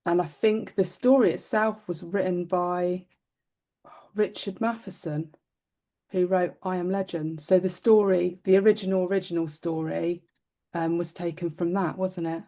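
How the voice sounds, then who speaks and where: A woman with a low, very mellow voice talks as a podcast co-host. Her phrasing is loose and informal, like relaxed unscripted conversation. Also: moderate pace. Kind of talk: casual unscripted podcast chat.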